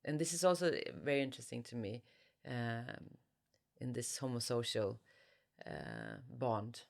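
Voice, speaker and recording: A woman's voice: rumbling low, lively intonation, vocal fry, a little mellow. A woman with a Swedish accent, an author who speaks thoughtfully as an interview guest or reading from her own writing. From Sweden. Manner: halting, searching for words. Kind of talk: thoughtful interview talk and reading aloud from a novel.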